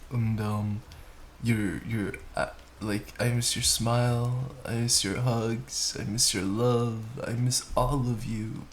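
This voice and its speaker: A young man playing a tender, doting boyfriend in an intimate comfort roleplay, speaking softly and soothingly with repeated reassurances. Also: medium pitch, level tone, slow pace, a little hiss or hum. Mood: affectionate longing. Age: young adult. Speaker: boyfriend roleplay audio performer. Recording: roomy echo, faint background ambience. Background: rain sounds.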